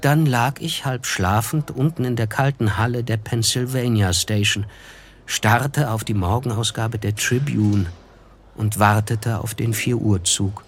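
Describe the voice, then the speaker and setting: An actor narrating a German literary radio play as an observant, reflective writer, measured and wry, with music behind him. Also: male voice, on the low side, a little hiss or hum. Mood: sober.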